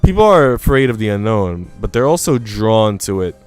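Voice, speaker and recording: A man's voice: on the low side, sing-song, moderate pace, smooth, faint music behind. A man voicing a haughty young woman from a visual novel, self-assured and condescending. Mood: knowing, dismissive. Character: haughty, dismissive young woman, quick to judge.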